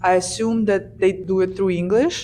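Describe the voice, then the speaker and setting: A woman's voice: mid-range voice, fairly even intonation, a little fast, dark tone. A young woman with a Ukrainian accent, chatting candidly as a podcast guest, with plenty of fillers. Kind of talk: casual podcast chat. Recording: faint background noise.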